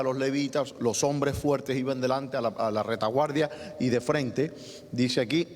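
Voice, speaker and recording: A man with a Cuban Spanish accent, preaching as pastor, who reads scripture aloud and then explains it with asides to the congregation. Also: medium pitch. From Cuba. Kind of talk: sermon with scripture reading. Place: church sanctuary. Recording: long church hall reverb.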